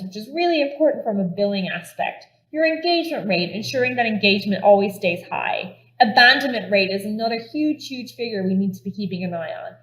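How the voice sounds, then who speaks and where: A woman's voice: mid-range voice, echoey room, low-quality recording. An Irish woman giving an upbeat, step-by-step product demo at a conference. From Ireland. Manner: upbeat and enthusiastic, explaining step by step. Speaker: conference presenter.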